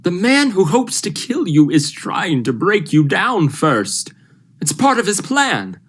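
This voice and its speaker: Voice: mid-range voice, sing-song, takes it slowly, husky, full character voice. A young performer playing an urgent, commanding male doctor in an old-style radio thriller, emphatic and melodramatic. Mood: urgent, persuading. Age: young adult. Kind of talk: 1940s radio thriller acting.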